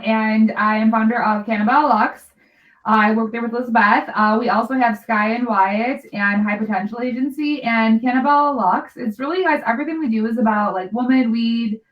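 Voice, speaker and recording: A woman speaking casually as a company founder introducing herself on a panel, recorded dull and off the mic. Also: fairly high pitch. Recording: distant mic, slightly dull sound.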